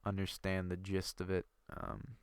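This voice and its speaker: A man's voice: sits low, completely flat delivery, unhurried, smooth, dry recording. Stuffy-nosed young man rambling over gameplay. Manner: rambling, thinking aloud with many fillers. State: stuffy nose from a cold. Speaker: gaming video creator. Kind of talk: casual gameplay commentary.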